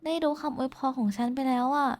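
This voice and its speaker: A woman's voice: sits high, quick pace, lightly acted. A woman playing a shy, affectionate young woman in an intimate roleplay, talking casually and hesitantly to someone special. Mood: bashful. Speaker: VTuber voice actress. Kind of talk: intimate roleplay voice pack addressed to the listener.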